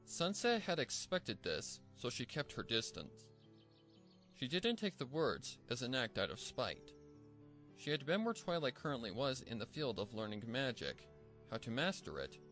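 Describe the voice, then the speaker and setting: A man's voice: mid-range voice, fairly bright tone. A man narrating a scripted fan fiction story as a storybook narrator.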